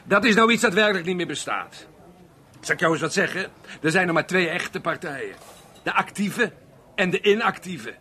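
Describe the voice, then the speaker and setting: An actor playing a drunk, aggrieved unemployed man rambling haltingly at a bar in a radio play. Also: high voice, animated, bright, forceful tone. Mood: tipsy, grumbling. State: drunk (acted). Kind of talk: Dutch radio play monologue and dialogue.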